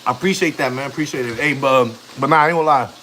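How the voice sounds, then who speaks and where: A man's voice: mid-range voice, racing, pushed and bright, slight background noise. Slangy man in his thirties. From United States. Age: 30s. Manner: loose street slang, rambling and chatty. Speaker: family vlogger. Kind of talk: casual live-stream chat.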